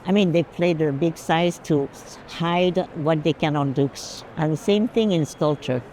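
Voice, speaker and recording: A woman's voice: low-pitched, a little slow, warm tone. A woman discussing art casually, stating firm opinions. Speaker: curator and collection advisor. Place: busy art fair. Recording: clear urban ambient noise.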